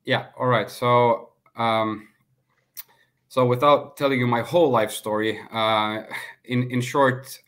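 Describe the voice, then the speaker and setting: A man in his thirties from Finland, a guest on a video call interview, speaking in a measured way with filler pauses. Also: mid-range voice, steady tone, a bit bright. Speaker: guest, sports consultant. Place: home room, indoors. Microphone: laptop webcam on video call.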